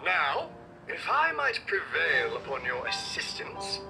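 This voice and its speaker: Grandiose, swooping old robot knight. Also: male voice, on the high side, huge pitch swings, slow pace, gravelly, music in the background, heavily acted, poor audio. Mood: grandly courteous. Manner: pompous, archaic, florid speech. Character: ancient chivalrous robot knight captain, grandiose and courteous. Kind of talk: theatrical video game voice acting.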